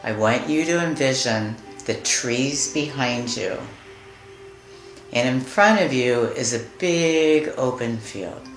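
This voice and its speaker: A woman leading a guided meditation in a soothing, deliberate manner, leaving long pauses between phrases. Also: a bass voice. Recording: roomy echo with music behind. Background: music.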